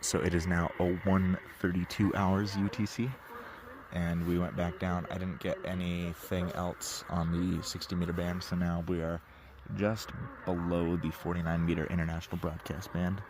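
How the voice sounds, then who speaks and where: A man's voice: deep voice, level tone, normal speed. A teenage man giving casual spoken commentary as a radio hobbyist, recorded outdoors. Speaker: shortwave radio hobbyist narrating a bandscan. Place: outdoors at night. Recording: clear background noise.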